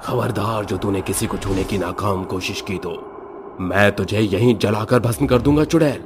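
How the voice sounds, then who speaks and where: A man's voice: fairly low pitch, normal speed, noisy background, lightly acted. A man doing a stern commanding holy man. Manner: commanding, threatening.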